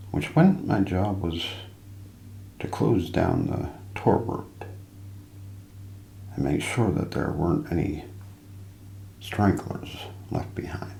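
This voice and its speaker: A deep-voiced man with heavy vocal fry narrates mysteries in a hushed ASMR style. He moves slowly and deliberately, building suspense. The recording is roomy, with light background noise. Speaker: narrator and host of an ASMR role play.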